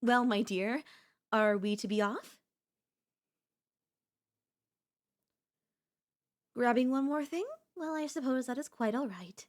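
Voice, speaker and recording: A high-pitched, sweetly possessive yandere girlfriend, acted by a woman for an ASMR roleplay. She is theatrical and insistent, coaxing her beloved with flowery endearments and elegant teasing. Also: normal speed, natural voice. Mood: eager. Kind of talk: ASMR audio roleplay acting.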